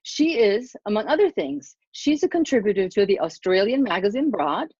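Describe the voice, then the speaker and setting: A woman's voice: medium pitch, moderate pace, breathy edge, no room sound. Enthusiastic middle-aged woman hosting a podcast. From Germany. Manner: excited, effusive, gushing. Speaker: podcast host. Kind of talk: enthusiastic interview introduction. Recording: dull dry lo-fi sound.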